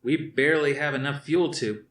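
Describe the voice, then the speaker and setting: A man's voice: on the high side, normal speed, a bit bright, reverberant, recorded from a distance, pitched above the performer's own voice, close to an everyday voice. A man reading aloud, voicing a frank young male pilot lieutenant raising a doubt to his commander. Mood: doubtful.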